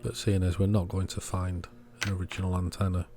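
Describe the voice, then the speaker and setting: Low-voiced man narrating a repair. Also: little pitch movement, brisk, a crowd in the background. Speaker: host of an electronics repair channel. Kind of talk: step-by-step repair voice-over, casual. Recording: music behind the voice. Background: background music.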